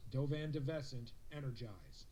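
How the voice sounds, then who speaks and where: A man's voice: mid-range voice, animated, normal speed, heavy background noise, natural voice. A man playing a grim, businesslike starship officer in a sci-fi audio drama, clipped and matter-of-fact.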